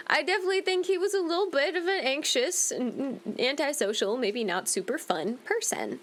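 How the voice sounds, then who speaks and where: A woman giving casual, expressive playthrough commentary as a gaming host, playfully reacting aloud. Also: a little high.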